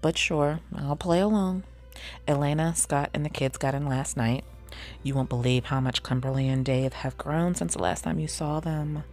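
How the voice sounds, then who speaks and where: A woman's voice: deep voice, moderate pace, darkish tone, soft background music. A woman voicing a middle-aged mother in an audiobook, chatty, blunt and insistent. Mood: chatty, fussing. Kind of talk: audiobook character voice.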